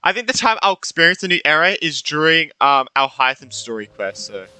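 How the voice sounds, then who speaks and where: Bright-voiced young guy commentating on a game. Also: fairly high pitch, sing-song, a little fast, clear, resonant tone, rough recording. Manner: informal, rambling. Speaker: gaming YouTuber. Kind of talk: casual game playthrough commentary. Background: game music.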